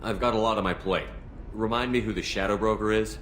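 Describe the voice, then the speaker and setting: An actor playing a calm, decisive male military commander in a video game, speaking firmly and directly. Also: on the low side, fast, a little hiss or hum, natural voice. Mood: steady, helpful. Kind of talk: scripted video game dialogue. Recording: music behind the voice.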